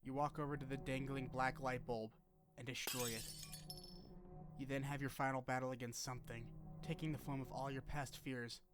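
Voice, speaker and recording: A man delivers scripted narration in the manner of a video essay on a game's story. His voice sits at a middling pitch and stays very even, with little melodic movement. Music plays clearly behind him. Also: normal speed.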